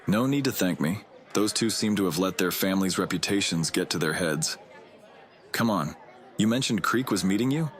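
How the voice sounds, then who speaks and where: A man playing a tall, kindly elf potions master in a fantasy audio drama, measured and gently chiding. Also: low voice, lively intonation. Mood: reassuring. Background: outdoor festival crowd ambience.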